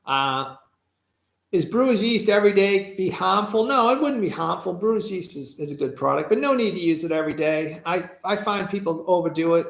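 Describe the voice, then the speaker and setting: A man with a Boston accent answers viewers' questions live, reading each one out and then talking it through with plenty of fillers and restarts. His voice sits a bit high and has a gravelly edge, and he sounds tired. The sound comes from a roomy, echoey space. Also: expressive, fairly quick. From Massachusetts, USA. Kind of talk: casual live-stream Q&A. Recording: echoey room.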